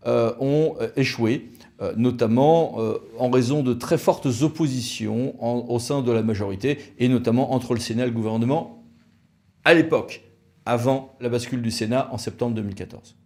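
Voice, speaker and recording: French guy from france explaining stuff like a lecturer, soft dark voice, leans on key words. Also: medium pitch. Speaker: lawyer presenting an explainer. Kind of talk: semi-improvised explanatory talk on public policy.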